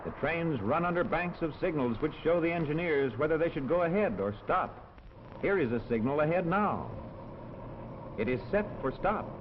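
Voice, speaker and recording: A man narrating a 1940s educational film in a measured, instructional manner, on a muffled old soundtrack. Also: fairly high pitch, animated, slight background noise. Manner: clear, measured, instructional delivery.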